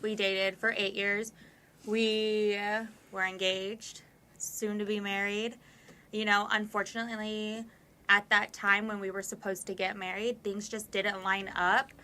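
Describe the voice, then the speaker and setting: A woman's voice: medium pitch, little pitch movement, measured pace, sharp tone. A woman in her twenties, a podcast guest, talking candidly and at length with many fillers. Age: 20s. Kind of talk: casual conversation.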